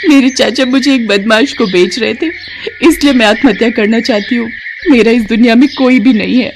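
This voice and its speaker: Woman as a higher-pitched, dramatic young schemer. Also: moderate pace, background noise, close to an everyday voice. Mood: desperate. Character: beautiful scheming young woman, brazen home-wrecker.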